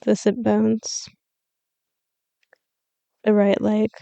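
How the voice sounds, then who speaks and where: Calm lady doing guided meditation, mellow soft-edged tone, very dry close sound, soothing and measured. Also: mid-range voice, gravelly, no room sound. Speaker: yoga nidra meditation guide.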